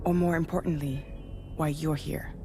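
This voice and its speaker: A composed female commander in a video game cutscene. Her voice is low, welcoming and resolute as she guides a newcomer, with a prominent score behind her. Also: level tone, moderate pace, over music. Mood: earnest, resolute. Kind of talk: cinematic video game acting.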